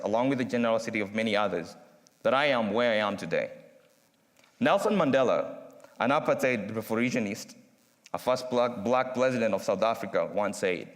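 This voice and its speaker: A young man from Kenya with a Kenyan accent, giving an earnest, measured student speech with dramatic pauses. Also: mid-range voice. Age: young adult. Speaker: student speaker. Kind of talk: prepared speech read aloud. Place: university chapel. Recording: long reverb from a large chapel.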